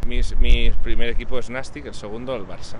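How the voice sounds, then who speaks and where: A man Catalonia, giving relaxed, plain answers to a stranger outdoors. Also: mid-range voice, a little fast. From Catalonia, Spain. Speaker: tourist answering questions. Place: outdoors on a city street. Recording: street traffic noise.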